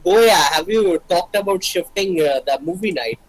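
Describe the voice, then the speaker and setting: Lively higher-pitched man in casual chat. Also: normal speed, no room sound, poor audio. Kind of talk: casual group chat on a livestream.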